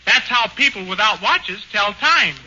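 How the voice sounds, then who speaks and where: A man's voice: sits high, lively intonation, unhurried, pushed and bright. A man as comic foil in 1940s radio comedy, with a whiny, boyish, put-upon manner. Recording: dull old radio broadcast, faint hiss.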